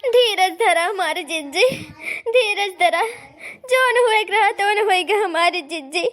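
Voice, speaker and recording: An extremely high-pitched, plaintive cartoon village woman, mourning with a neighbour. She drags out her exclamations slowly in an Awadhi accent. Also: animated, fairly bright tone, exaggerated voice. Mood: consoling. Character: tearful village neighbour woman consoling the bereaved widow. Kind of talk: cartoon comedy acting.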